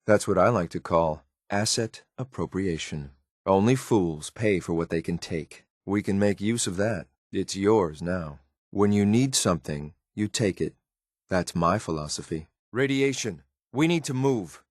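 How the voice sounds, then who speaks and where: A man acting as a stoic, loyal but ruthless synthetic soldier in a video game, with a clipped, military, deadpan delivery. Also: fairly low pitch, no room sound, studio quality. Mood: cool, matter-of-fact. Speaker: companion character in a video game. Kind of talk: scripted game voice lines.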